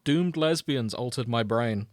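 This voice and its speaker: A man's voice: medium pitch, measured pace, no room sound. A man hosting a podcast in casual banter, chatty, teasing and wry, sometimes reading fan fiction aloud. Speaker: podcast host.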